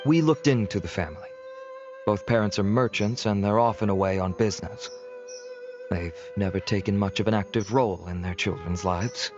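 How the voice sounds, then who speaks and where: A man's voice: fairly low pitch, normal speed, lo-fi sound, music in the background, lightly acted. A man playing a polite, dutiful investigating officer in a video game, speaking in a courteous, formal way. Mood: matter-of-fact.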